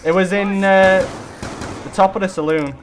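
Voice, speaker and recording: A young man with a fairly high voice chats through a co-op zombie game, excitable and quick to hype his gun. A faint hiss of noise sits under the gameplay audio. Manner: excitable, hypes gun, swears mildly. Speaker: gaming channel host. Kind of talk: casual co-op gameplay chat.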